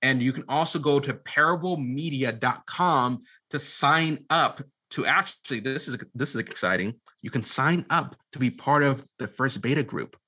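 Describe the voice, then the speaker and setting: A man's voice: mid-range voice, normal speed, hardly any pauses, pushed and bright, husky. A man speaking earnestly and informally as a podcast guest, giving advice in casual interview talk. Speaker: podcast guest, media company CEO.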